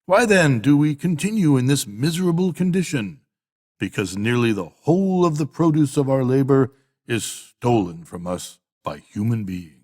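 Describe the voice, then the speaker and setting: A solemn old boar played by an older man delivers rousing storybook oratory. His speech is emphatic and full of dramatic pauses, in a fairly high-set male voice. A long hall reverb rings around every phrase. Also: expressive, darkish tone, lightly acted. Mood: grave, accusing. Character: elderly boar, solemn revolutionary orator rousing farm animals. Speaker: audiobook reader.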